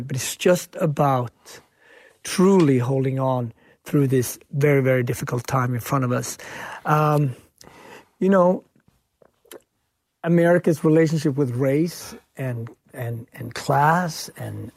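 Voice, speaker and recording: A man's voice: fairly high pitch, darkish tone, no echo. A man from Sweden, a chef and author, answering questions earnestly in a radio interview. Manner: earnest, reflective, frequent "right?" tags.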